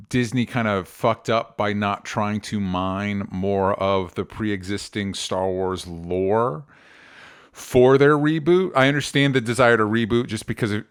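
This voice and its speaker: A man's voice: lowish voice, clean tone. A man hosting a casual pop culture discussion, opinionated and rambling, often circling back to correct himself and swearing casually. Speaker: podcast host.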